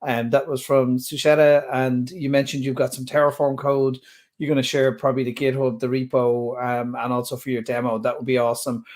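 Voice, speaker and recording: A man's voice: mid-range voice, flat delivery, brisk, a little mellow. A man in his thirties hosting a webinar Q&A from a home office, chatty and enthusiastic, often stumbling over words. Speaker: user group host. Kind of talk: casual webinar Q&A moderating.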